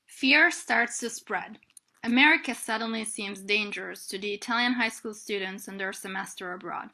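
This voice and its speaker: A woman's voice: medium pitch, normal speed, bright, forceful tone. A woman reading a scripted first-person literary piece aloud as narration. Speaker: reader of a literary text. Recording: clearly noisy recording.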